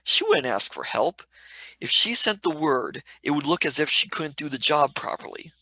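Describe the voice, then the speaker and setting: A cheeky young Cockney man played by a male actor in a radio play, skeptical and slangy. The voice is bright and forward with very lively swings of pitch, recorded close and dry. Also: moderate pace, no room sound, exaggerated voice, rough recording. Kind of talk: live script reading, radio play.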